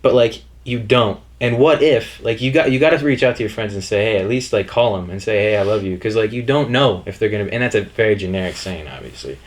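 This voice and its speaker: A man's voice: on the low side, fast talker, few pauses. A young man hosting a podcast, chatting casually and enthusiastically, often saying "dude" and "bro". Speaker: podcast host. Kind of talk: casual chat.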